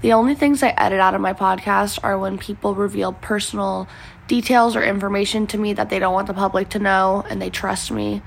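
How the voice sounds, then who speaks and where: A podcast host recording a quick aside on her phone's voice memo app, explaining a cut in an apologetic way. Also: mid-range voice, fairly even intonation, gravelly.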